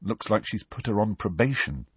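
A man's voice: fairly low pitch, expressive, moderate pace, slightly rough, no room sound. A man voicing a teenage schoolboy who mutters confidingly in a storybook reading. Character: quietly conspiratorial teenage schoolboy.

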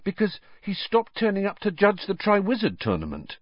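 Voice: medium pitch, wildly swooping pitch, moderate pace, husky, no room sound, highs cut off, voice pushed up. A performer voicing a curious, direct teenage boy in a storybook reading. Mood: inquisitive.

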